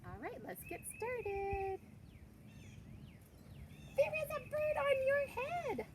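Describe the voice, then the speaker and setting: Female storybook reader, highly animated, slow-paced, clear birdsong and outdoor noise around her. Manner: expressive, prompting the young reader along. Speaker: adult reading aloud with a child. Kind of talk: picture-book read-aloud to children.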